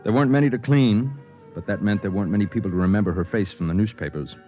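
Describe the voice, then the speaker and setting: A wry newspaperman acted by a man with a deep voice, telling his story in terse, matter-of-fact phrases. It has the style of 1950s radio drama. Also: fast talker, warm tone, soft background music, natural voice. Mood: low-key, wry. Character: wry, hard-working male newspaper reporter narrating his story. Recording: vintage 1950s radio broadcast.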